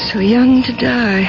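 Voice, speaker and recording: Horrified young female visitor, radio drama, drawn-out delivery, music behind. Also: on the high side, gravelly, exaggerated voice. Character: awed, horrified 24-year-old female visitor hearing a ghost legend. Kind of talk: 1970s radio drama acting. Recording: muffled 1970s radio broadcast with music.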